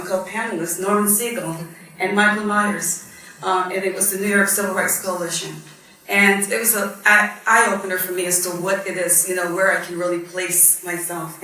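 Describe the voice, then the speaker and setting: A woman's voice: mid-range voice, creaky, bright, forceful tone. A woman in her fifties, born in Guyana and raised in Barbados, speaking as a judge on a panel. She tells her story in an earnest, reflective way, with frequent ums. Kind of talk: personal story at a panel discussion. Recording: echoey hall, mic at a distance, faint noise.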